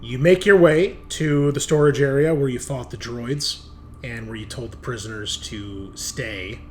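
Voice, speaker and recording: A man's voice: medium pitch, measured pace, a little mellow. Man narrating a game over noise. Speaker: game master. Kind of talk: improvised tabletop game narration. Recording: clearly audible background noise.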